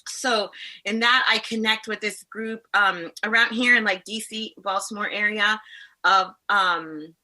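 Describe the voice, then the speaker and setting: A woman in her forties from the Caribbean, chatting as a podcast guest in a rambling, animated way. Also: medium pitch, measured pace, rough recording. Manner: rambling, animated, acts out other voices in her stories. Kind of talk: casual podcast conversation.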